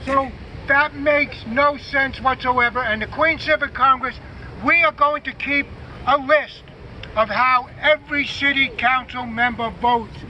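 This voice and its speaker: A man's voice: very high voice, fairly even intonation, a little slow, sharp tone, highs cut off. A man giving an impromptu speech at an outdoor rally, mixing sarcastic jabs with calls to remember how officials vote. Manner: sarcastic jabs, urging the crowd to remember votes. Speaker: civic group representative at a rally. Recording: outdoor rally with crowd and street noise.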